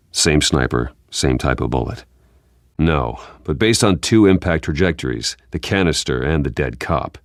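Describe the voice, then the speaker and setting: A man voicing a grim, controlled masked vigilante detective in a video game, speaking tersely and deliberately in a husky low growl. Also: dry recording, studio quality, full character voice. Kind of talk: video game voice acting, terse investigative lines.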